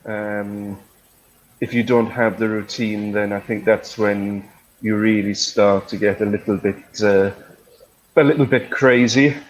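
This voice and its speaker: A man's voice: on the low side, steady tone, unhurried, muffled recording. A middle-aged man hosting a conversational online English lesson, phrasing things hesitantly with tag questions. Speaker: English teacher, webinar host.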